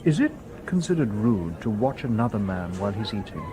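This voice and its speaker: An actor playing a polite, logical humanlike robot detective in a radio drama, with formal, precise speech. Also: male voice, on the low side, big pitch swings, a little fast, noisy background. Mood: calm, watchful. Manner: formal, precise, unemotional wording.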